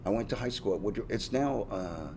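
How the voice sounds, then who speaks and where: Low-voiced man in his sixties, rambling. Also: expressive, fairly quick, background noise. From United States, Pennsylvania area. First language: Finnish. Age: 60s. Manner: rambling and good-humored, laughs often, frequent restarts. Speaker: guest, drummer. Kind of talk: casual interview chat.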